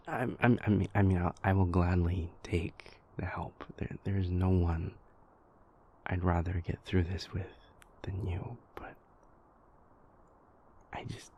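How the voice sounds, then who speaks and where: A man plays an anxious vampire prince in an intimate audio roleplay, confiding in his lover. His voice sits low and husky, with a gravelly rasp. He speaks slowly and haltingly, stumbling over and repeating words as he doubts himself. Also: dark tone. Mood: grateful, regretful.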